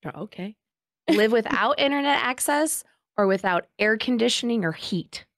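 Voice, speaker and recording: A young adult woman co-hosting a podcast, reading quick-fire questions in a playful, teasing way. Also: a little high, expressive, fairly bright tone, dry recording, studio quality. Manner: playful, teasing, laughs often. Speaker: podcast co-host. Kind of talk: casual podcast chat.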